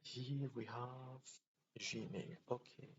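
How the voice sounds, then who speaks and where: A man from Poland hosting a casual screen-recorded tutorial, thinking aloud in a halting way as he clicks through steps. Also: mid-range voice, steady tone. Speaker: tutorial host. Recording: dull lo-fi mic with faint noise.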